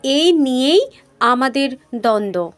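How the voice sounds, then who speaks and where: A woman's voice: sits high, lively intonation, a little slow, pitched below the performer's own voice. A woman storyteller voicing an earnest, questioning male fire god in a mythological tale. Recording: music behind the voice.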